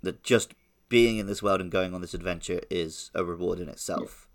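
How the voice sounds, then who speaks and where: A man's voice: deep voice, normal speed. A man co-hosting a podcast, giving his opinions on games in a casual, conversational way. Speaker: podcast co-host. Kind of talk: casual game discussion.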